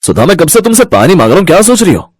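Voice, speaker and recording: A helpful family man in storybook dialogue, acted out by a man. His voice is on the high side with a very animated melody, recorded dry and close. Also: rapid-fire, slightly rough, no room sound, exaggerated voice. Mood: impatient. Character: helpful, plain-spoken man of the household.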